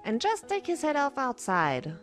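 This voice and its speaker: A man's voice: huge pitch swings, normal speed, soft background music, heavily acted. A man voicing a bossy queen who shrieks harsh orders. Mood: cold command. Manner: shrill, commanding shouts.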